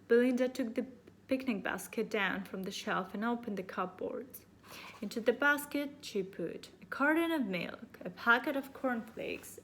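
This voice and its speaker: A woman's voice: a little high, a bit bright. A woman teacher reading a children's storybook aloud expressively to young pupils. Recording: faint background noise.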